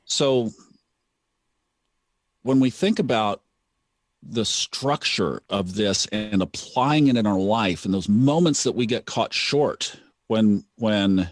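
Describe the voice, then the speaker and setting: A man's voice: fairly low pitch, no echo, clean studio sound. A man hosting a podcast, talking in a reflective, storytelling way and repeating phrases for emphasis. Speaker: podcast host. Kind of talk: casual conversational chat.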